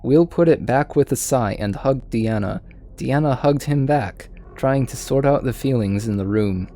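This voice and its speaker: A man's voice: mid-range voice, unhurried, mellow. A man reading a story aloud in an informal way, sometimes stumbling over lines. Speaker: fan fiction reader.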